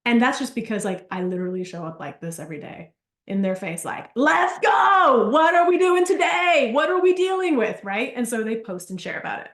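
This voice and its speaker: Animated chatty woman in an echoey room. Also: a little high, a little fast. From Argentina. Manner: animated, chatty, storytelling with asides. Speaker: business coach. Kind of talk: live workshop talk.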